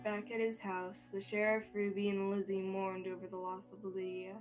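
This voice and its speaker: A woman's voice: medium pitch, monotone, normal speed, rough recording. A young woman reading scripted narration as the storyteller of a student radio drama. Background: soft music.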